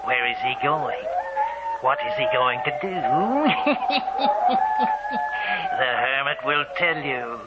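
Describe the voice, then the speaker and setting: An eerie old hermit who hosts a vintage radio horror tale. His pitch swoops wildly and theatrically as he draws out the menace. The old recording is muffled under heavy noise. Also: huge pitch swings, slow, long pauses, heavily acted. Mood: ominous, gleeful. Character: sinister cackling old hermit, horror storyteller. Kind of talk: 1940s radio horror narration.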